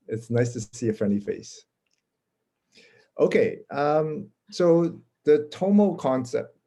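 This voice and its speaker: A man from Canada gives a casual presentation as a guest speaker over a video call, friendly and halting with many fillers. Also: medium pitch, lively intonation, creaky, dark tone.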